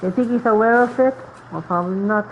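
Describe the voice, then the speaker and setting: A woman's voice: medium pitch, fast talker, slight background noise, low-quality recording. A woman streaming a game with casual, informal commentary, rambling and reacting as she plays.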